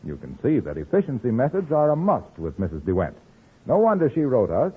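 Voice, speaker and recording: American guy doing old radio ad, husky, really swoopy emphatic delivery, muffled sound. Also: mid-range voice, huge pitch swings, fairly quick. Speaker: radio commercial announcer. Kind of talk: 1940s radio ad narration.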